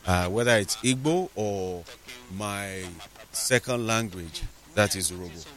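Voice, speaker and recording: A man's voice: lowish voice, lively intonation, measured pace, fairly bright tone. Nigerian man hosting a morning talk show. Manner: conversational, reads messages aloud with fillers and asides. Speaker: radio talk show host. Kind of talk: morning call-in talk, reading listener messages.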